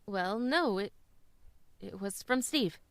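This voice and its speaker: A woman's voice: mid-range voice, lively intonation, takes it slowly, no room sound. A woman playing a tender, wry single mother in an old-time radio drama. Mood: fond, patient.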